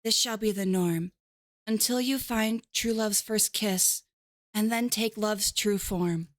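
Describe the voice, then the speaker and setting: A woman voicing a young princess under a curse, speaking softly and earnestly. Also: mid-range voice, slow pace, dry recording, natural voice. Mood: sorrowful. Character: young princess cursed to turn ogre by night.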